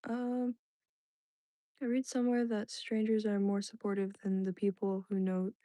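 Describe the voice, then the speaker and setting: A woman's voice: medium pitch, completely flat delivery, normal speed, dark tone, dry recording. A young woman whose first language was Indonesian, chatting casually with her stream viewers in a rambling way, full of "like"s and restarts. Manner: rambling, filler-heavy, many "like"s and restarts. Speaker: livestream host. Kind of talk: casual chat with live chat viewers.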